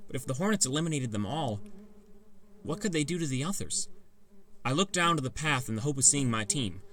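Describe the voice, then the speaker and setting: A man's voice: mid-range voice, lively intonation, a little fast, a bit bright. A man narrating a first-person fantasy adventure story in a scripted, dramatic audiobook style.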